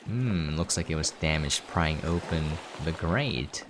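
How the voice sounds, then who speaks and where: Deep-voiced man commenting on a game. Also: expressive, unhurried, slight background noise. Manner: explanatory, thinking aloud. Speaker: game streamer. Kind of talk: casual gameplay commentary. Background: game music.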